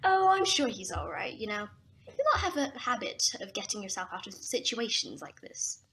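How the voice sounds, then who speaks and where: Woman acting a sardonic, lively secret agent. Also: medium pitch, normal speed, vocal fry, full character voice. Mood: dismissive. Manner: sardonic, breezy. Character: cocky, sardonic, knowing female agent. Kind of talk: audio drama acting.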